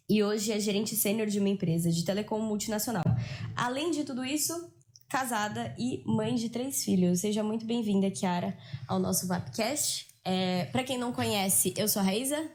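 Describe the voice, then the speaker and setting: A woman in her thirties hosting a podcast, talking in a chatty, lively and emphatic way. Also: mid-range voice. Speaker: podcast host. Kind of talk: casual podcast chat.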